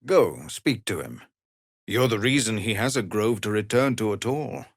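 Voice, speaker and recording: An actor playing a weary, dutiful leader of refugee outcasts in a video game, speaking formally and earnestly. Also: male voice, fairly low pitch, animated, normal speed, dry recording, studio quality. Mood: grave, weary. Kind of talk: dramatic video game voice acting.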